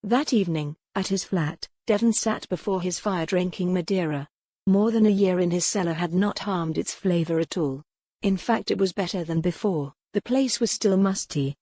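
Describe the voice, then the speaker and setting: Woman audiobook narrator, lowish pitch, soft dark tone, dry close sound, historical romance storytelling. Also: no room sound.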